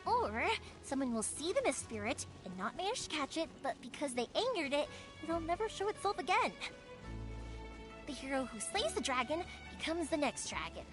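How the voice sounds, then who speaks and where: A woman playing a cheerful, warmhearted young fireworks maker in a video game, chatty, bubbly and expressive. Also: sits high, moderate pace. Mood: wistful. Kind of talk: animated video game voice acting. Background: background music.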